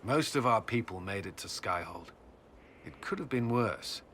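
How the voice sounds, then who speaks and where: A stern army commander in a fantasy game, clipped n forceful, earnest, bit of background noise. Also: male voice, fairly low pitch, animated, normal speed. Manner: clipped, authoritative, formal. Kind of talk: video game cutscene acting.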